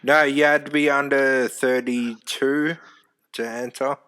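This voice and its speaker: A man with a deep, loud voice, telling animated stories in casual chat and swearing casually. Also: a little slow, clean tone. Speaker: former youth music event host.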